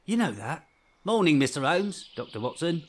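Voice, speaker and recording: A man's voice: a little high, sing-song, normal speed. An actor playing a kindly, gossipy English village postman in a vintage radio drama, with a rustic, folksy turn of speech. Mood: friendly. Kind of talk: 1940s radio drama acting.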